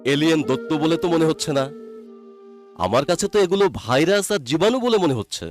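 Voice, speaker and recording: A man's voice: on the high side, animated, a little fast, soft background music, close to an everyday voice. A man playing a friendly cartoon doctor who explains things patiently and expressively to children. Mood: curious. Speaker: doctor character. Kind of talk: animated cartoon dialogue.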